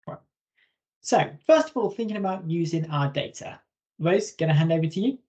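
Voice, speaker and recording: A man giving an informal instructional webinar presentation as a software trainer. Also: a little high, expressive, normal speed. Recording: slightly dull lo-fi webinar audio.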